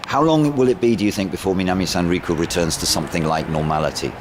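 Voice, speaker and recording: A man interviewing informally on location, asking rambling questions in a halting way. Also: low-pitched, a crowd in the background. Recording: noisy outdoor amateur recording with traffic.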